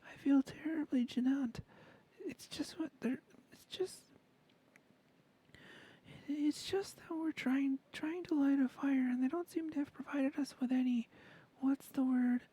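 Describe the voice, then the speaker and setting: A woman voicing a tall, polite young woman neighbor with a foreign-sounding lilt, in a breathy whisper. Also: high voice, fairly even intonation, measured pace, dark tone, low-quality recording. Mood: apologetic.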